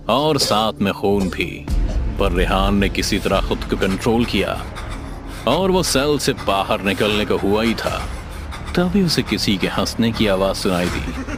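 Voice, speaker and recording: A man telling a scripted horror story in a dramatic storytelling style over background music. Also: fairly low pitch. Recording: music under the voice.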